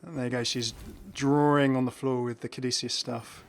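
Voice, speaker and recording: A man's voice: mid-range voice, level tone, moderate pace. A man giving casual, enthusiastic game commentary in an informal, chuckly way. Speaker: video creator presenting game content.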